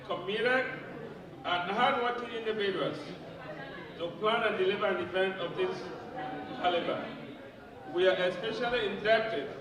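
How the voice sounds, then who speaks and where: High-voiced man, formal gala address, roomy distant hall with crowd. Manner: formal, measured, ceremonious thanks. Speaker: association president. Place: large event clubhouse hall. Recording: echoey hall from far off, crowd. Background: audience crowd.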